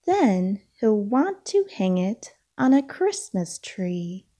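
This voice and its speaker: A woman reading a children's storybook aloud, with deliberate, expressive phrasing for young listeners. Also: mid-range voice. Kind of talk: read-aloud storybook narration.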